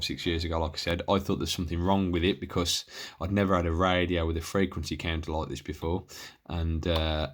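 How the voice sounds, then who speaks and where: Low-voiced British man, rambling through a demo. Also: a little hiss or hum. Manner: rambling, hesitant, self-interrupting. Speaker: hobbyist showing and reviewing his radio gear. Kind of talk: casual unscripted equipment demo. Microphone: handheld camera.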